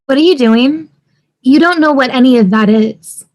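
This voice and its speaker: A woman's voice: high-pitched, normal speed, darkish tone, lightly acted. A woman playing a hopeful, practical young wife in a conversational table read over a video call. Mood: wary.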